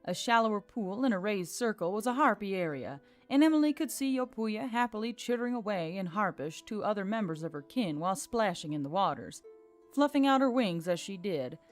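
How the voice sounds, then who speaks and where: A woman's voice: medium pitch, animated, a little fast. A woman reading scripted fiction as an audiobook narrator. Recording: music behind the voice. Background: music.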